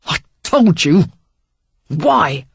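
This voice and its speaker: A man voicing a rough, brooding man with a Cockney twang and a hoarse, husky voice, growling and commanding. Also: high-pitched, sing-song, drawn-out words, a bit bright, no room sound, lo-fi sound, full character voice. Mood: angry.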